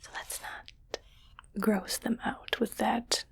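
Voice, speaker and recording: Breathy whispering alien owner, acted by a woman. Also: fairly high pitch, little pitch movement, full character voice. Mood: condescending, mildly disgusted. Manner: slow, deliberate, detached. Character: condescending, curious rich alien keeping a human pet. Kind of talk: whispered ASMR roleplay.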